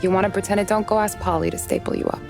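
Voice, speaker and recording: A woman's voice: mid-range voice, wildly swooping pitch, rapid-fire. An actress playing a tough, sardonic young woman who talks bluntly and bosses people around. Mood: wry. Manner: blunt, wry, bossy. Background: game score music.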